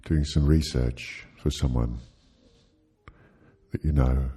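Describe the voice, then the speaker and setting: A man leading a guided sleep hypnosis, speaking soothingly and gently in short, halting phrases with long pauses. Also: a bass voice, fairly even intonation, breathy edge, soft background music.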